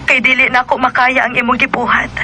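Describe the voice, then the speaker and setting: A woman's voice: high voice, completely flat delivery, fast talker, close to an everyday voice. An actress with a Cebuano Filipino accent playing a hurt, plaintive young wife and mother in a radio drama. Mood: sorrowful, resigned. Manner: plaintive, accusing. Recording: radio drama with music underneath.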